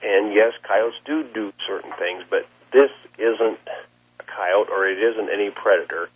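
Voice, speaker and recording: A man, a plain-spoken cattle rancher giving a halting account in an interview over the telephone. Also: on the low side, slight background noise. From Nebraska, United States. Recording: muffled, lo-fi phone interview line.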